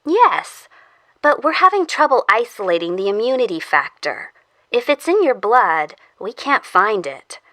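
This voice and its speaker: A woman's voice: a little high, very animated, a little slow, a bit bright, dry recording. A woman playing a polite scientist who explains things clinically in an audio drama. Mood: clinical, explanatory. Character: polite female scientist researching a zombie immunity.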